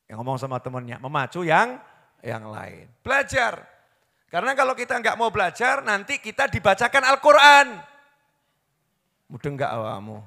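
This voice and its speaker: A man preaching a sermon, swinging from hushed storytelling to loud impassioned pleading. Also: high voice, wildly swooping pitch, normal speed, vocal fry, pauses often. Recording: long hall reverb.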